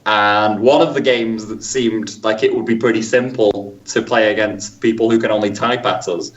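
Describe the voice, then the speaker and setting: A man's voice: on the low side, steady tone, normal speed. A man chatting as a podcast guest, rambling and stop-start with lots of restarts. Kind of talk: casual chat. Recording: distant, dull lo-fi sound.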